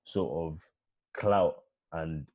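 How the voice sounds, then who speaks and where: A man's voice: very deep voice, drawn-out words, a little mellow. A man hosting a video-call panel, asking questions and nudging the guests onward. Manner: brief affirmations, prompting questions. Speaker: show host.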